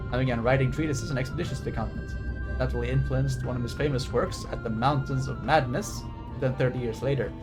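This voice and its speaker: Rambling male streamer over background music. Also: medium pitch. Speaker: livestreamer. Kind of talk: game stream commentary, reading on-screen text aloud and chatting.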